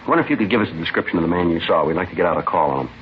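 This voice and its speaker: An American man acting as a terse, stoic, courteous police detective, deadpan and clipped, in a 1950s radio drama. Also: low-pitched, racing. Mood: courteous, businesslike. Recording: dull, hissy vintage radio recording.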